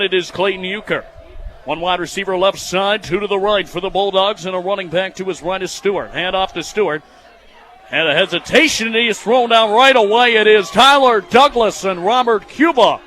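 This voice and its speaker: Young man, American accent, high-pitched, sharp bright tone, football radio play-by-play, excitable announcer delivery. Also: normal speed. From United States, Michigan. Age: 20s. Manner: excitable, raising his voice on plays.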